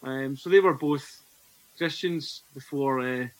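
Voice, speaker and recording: A Scottish man in his forties speaks as a podcast guest, earnest and reflective as he tells his own story. His pitch sits in an ordinary middle range for a man. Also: slow pace, dry recording, poor audio. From Scotland. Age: 40s. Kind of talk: personal testimony in conversation.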